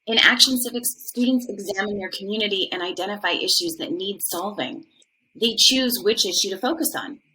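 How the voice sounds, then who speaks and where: A woman's voice: medium pitch, brisk, sharp tone, slight background noise, natural voice, rough recording. A woman playing an earnest, proud middle-aged social studies department chair explaining and defending her program in a dramatized monologue.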